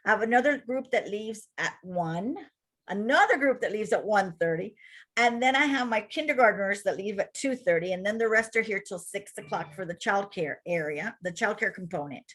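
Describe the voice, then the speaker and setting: A woman with a Cuban accent, a childcare center director giving an energetic webinar presentation to attendees over a video call. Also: mid-range voice, normal speed. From Cuba.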